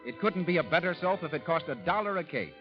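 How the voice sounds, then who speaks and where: An American man announces in grand 1930s radio style, his fairly high voice swinging with lively emphasis. The old broadcast recording is dull, with music clearly behind him. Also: fast. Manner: formal, emphatic showman's delivery. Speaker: radio show announcer and sponsor pitchman.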